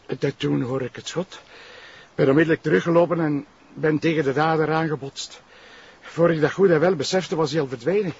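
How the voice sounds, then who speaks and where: A man's voice: on the high side, fairly even intonation, fairly quick, breathy edge. A man with a Flemish accent playing a loyal, caring, principled police brigadier in a crime drama, in an earnest way. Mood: shaken. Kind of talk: naturalistic TV crime drama acting. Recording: dull lo-fi drama audio, faint noise.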